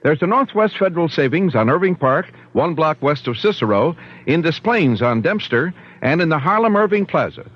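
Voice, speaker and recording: Male commercial announcer: upbeat salesman, higher voice, lively inflection, lo-fi 1970s radio sound. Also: normal speed. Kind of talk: scripted 1970s radio ad read. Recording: dull lo-fi 1975 radio broadcast.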